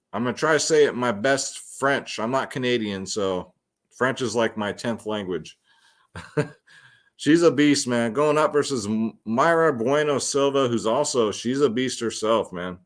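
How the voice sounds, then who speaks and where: A man hosting a fight picks show, talking casually and slangily to his viewers as guys while he gives predictions. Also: medium pitch. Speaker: host of a fight picks and fantasy sports show. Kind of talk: casual solo fight predictions and betting commentary.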